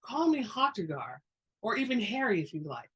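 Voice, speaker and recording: A woman voicing an old male wizard from a storybook, croaky, courteous and wry, with formal speech. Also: mid-range voice, sing-song, normal speed, fairly bright tone, exaggerated voice. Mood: courtly.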